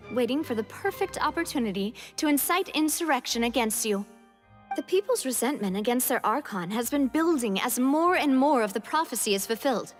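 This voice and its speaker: A poised young female boss from a video game, with a high voice. She lays things out frankly and in good order, music running underneath. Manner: clear, orderly, assured explaining. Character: confident young woman leader, poised and frank. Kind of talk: video game character acting. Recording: music behind the voice.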